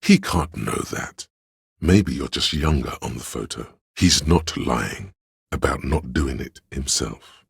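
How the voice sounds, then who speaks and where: Gravelly, theatrical, very deep courtly schemer. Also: male voice, slow pace, no room sound, clean studio sound, heavily acted. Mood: knowing, measured. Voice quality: gravelly, husky bass. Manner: grandiose, archaic courtly diction, conspiratorial. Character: theatrical, sly, flattering inner voice of a detective, courtly lie-sniffer. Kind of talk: scripted video game voice acting, mock-Shakespearean.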